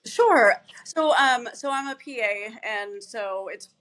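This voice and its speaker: Woman, interview guest, relaxed, rambling, filler-heavy, conversational. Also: on the high side, slow. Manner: relaxed and rambling, many "um" and "you know" fillers. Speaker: guest, physician assistant and fitness instructor. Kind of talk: casual interview chat.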